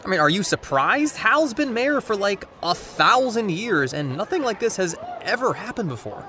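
Teasing teen boy, sarcastic, animated, sharp bright tone, audio drama. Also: high voice, moderate pace, slight background noise, exaggerated voice. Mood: sardonic. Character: teenage boy, cocky teasing next-door neighbor. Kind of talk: naturalistic audio drama acting.

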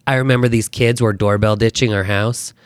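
An American man in his thirties chatting casually as a podcast guest, telling personal stories in an animated, self-deprecating way. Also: on the low side, fairly quick, no echo, clean studio sound. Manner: animated, self-deprecating joking with acted-out quotes. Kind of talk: casual chat, personal storytelling.

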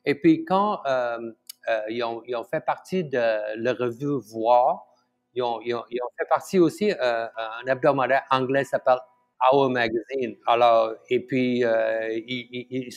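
A middle-aged Malaysian-born man with a Malaysian accent, a native Tamil speaker, talks in a relaxed interview. His speech is halting and full of fillers and restarts, and he chuckles now and then. His voice sits at an ordinary pitch for a man. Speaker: chef, interview guest. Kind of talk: casual conversational interview.

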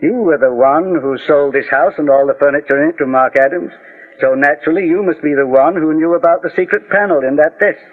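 Actor as kindly old male detective, measured, formal, unruffled, 1940s radio drama, narrow tinny sound. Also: a little high, lightly acted. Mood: calm, accusing. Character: kindly old gentleman detective, calm and shrewd. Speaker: lead detective.

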